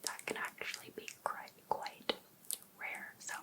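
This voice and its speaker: A woman playing an overbearing, obsessive female life coach in an ASMR roleplay, softly asking attentive questions with sweet encouragement. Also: close to an everyday voice. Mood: attentive, encouraging. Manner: soft, unhurried, attentive questioning. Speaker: ASMR performer.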